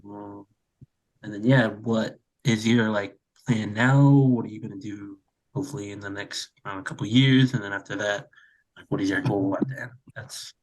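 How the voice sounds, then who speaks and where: A man's voice: lowish voice, steady tone, normal speed, low-quality recording. A man hosting a podcast, casually laying out questions for his guest with loose, rambling phrasing. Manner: loose and rambling, many fillers. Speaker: podcast host.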